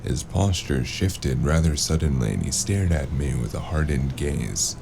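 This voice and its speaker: Guy acting a wary drifter with no memory in a noir audio drama, super deep gravelly voice, wry, kinda noisy recording. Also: animated, unhurried, full character voice. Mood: brooding. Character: wary amnesiac male drifter narrating his noir tale.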